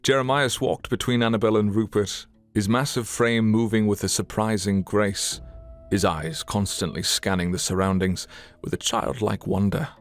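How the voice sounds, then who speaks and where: A man's voice: fairly low pitch, fairly even intonation, moderate pace, soft background music. A man narrating an audio drama in a scripted, dramatic storytelling style.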